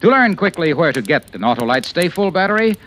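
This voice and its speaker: A male commercial announcer from old-time radio. He delivers a punchy, polished sales pitch with very lively intonation and a raised pitch, over faint noise from the vintage broadcast. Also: quick pace. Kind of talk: 1940s radio advertising pitch.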